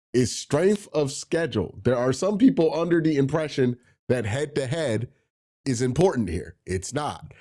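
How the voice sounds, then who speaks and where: A man with a cold, sounding nasal and breathy, hosting a sports talk show and patiently explaining draft tiebreakers. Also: medium pitch, warm tone. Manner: explanatory, walks through tiebreaker rules step by step. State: congested from a cold. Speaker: sports channel host. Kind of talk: solo sports talk to camera.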